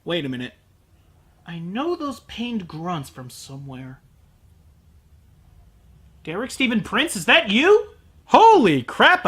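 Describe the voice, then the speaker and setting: A man's voice: very high voice, a bit bright. A man narrating a sarcastic, profane anime review, ranting about what he watched.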